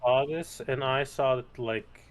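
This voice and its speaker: A man's voice: medium pitch, unhurried, low-quality recording. A man co-hosting a casual gaming talk show, making dry, blunt remarks. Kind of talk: casual chat.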